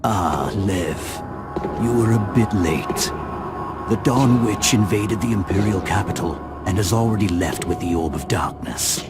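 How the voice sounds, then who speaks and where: An actor playing a pious goblin elder in a video game, speaking in a solemn, portentous way. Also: male voice, low-pitched, a little slow. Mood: solemn, urging. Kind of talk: dramatic video game cutscene acting. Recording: voice under loud music.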